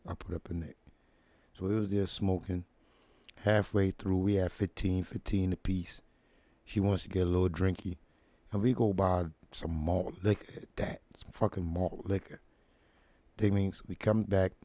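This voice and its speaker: Laid-back deep-voiced man telling stories. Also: mellow, no room sound. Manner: laid-back slangy storytelling, "dig me" asides; deliberately deep "radio voice". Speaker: show host. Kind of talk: casual storytelling monologue. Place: at home, relaxing with feet up.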